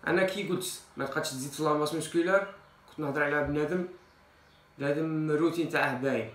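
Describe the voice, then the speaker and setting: Young algerian guy doing a fitness vlog, talks super fast, kinda high voice, echoey kitchen. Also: a bit bright. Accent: Algerian Arabic. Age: 20s. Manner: informal, mixes in French loanwords. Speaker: fitness vlogger. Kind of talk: casual advice to camera. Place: small tiled kitchen, indoors. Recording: echoey tiled room.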